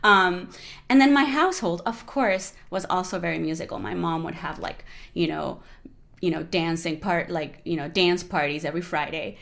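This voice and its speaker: Colombian woman in her 40s, Spanish-native, animated chatty guest, slightly low pitch. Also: moderate pace, creaky. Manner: animated, lots of fillers like "you know". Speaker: guest musician. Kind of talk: casual interview conversation.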